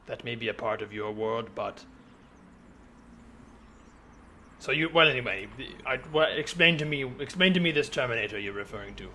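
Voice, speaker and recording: A man improvising a stoic, literal-minded robot from the future disguised as a girl, speaking in halting, self-important declarations for a comedy podcast. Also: medium pitch, expressive, bright, forceful tone, slight background noise. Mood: puzzled.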